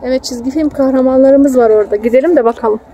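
A woman's voice: high-pitched, fast talker, warm tone. A young woman chatting casually and affectionately with her small child, explaining things simply and coaxing her along. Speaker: mother filming a family outing. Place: outdoors in a city park. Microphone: handheld phone held by the speaker. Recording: outdoor phone video with faint noise.

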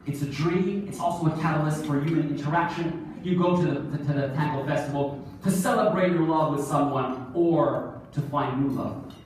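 A man in his forties telling stories as a keynote speaker on stage, lively, asking the audience questions. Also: a little high, normal speed, a little hiss or hum. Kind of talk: storytelling keynote speech. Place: large conference hall, on stage. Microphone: phone camera far from the stage. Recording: echoey hall recorded from far off.

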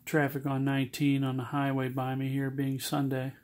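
A man giving an informal, halting, matter-of-fact product demonstration at an indoor workbench. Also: medium pitch, monotone. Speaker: seller demonstrating a CB radio. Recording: loud radio static, noisy amateur recording. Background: CB radio receiver noise.

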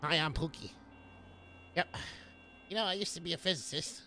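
A man's voice: a little high, moderate pace, creaky, bright, forceful tone, slight background noise, full character voice. A man voicing an unhinged homeless ex-academic who rambles nonchalantly in a game roleplay. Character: eccentric, unhinged homeless male ex-physicist, oddly nonchalant.

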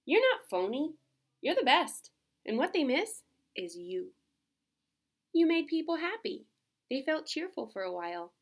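A woman reading aloud, voicing a loyal, kind male horse who encourages his friend warmly. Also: high voice, very animated, a little slow, long pauses, voice pushed up, full character voice. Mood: encouraging. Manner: warm, encouraging.

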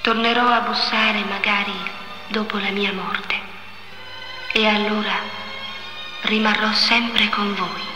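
An actress playing a pious, gentle teenage saint in a solemn, tender 1950s Italian devotional drama style. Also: female voice, medium pitch, flat delivery, natural voice, low-quality recording. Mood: gentle, prophetic. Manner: slow, solemn, tender delivery. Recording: old 1950s recording with music behind.

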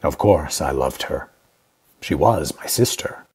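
A man plays a secretive, haughty guardian in an audio drama. The voice is deep and rough-edged, the delivery formal, dry and curt. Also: expressive, unhurried, darkish tone, heavily acted. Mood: curt, defensive.

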